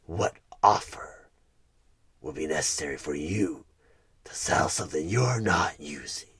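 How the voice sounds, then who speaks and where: A man playing a sinister, coaxing evil recruiter who bargains for souls in a creepy ASMR horror roleplay, husky and half-whispered, with deliberate dramatic pauses. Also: a bass voice, expressive, a bit bright, heavily acted. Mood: persuasive, menacing. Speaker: audio roleplay performer.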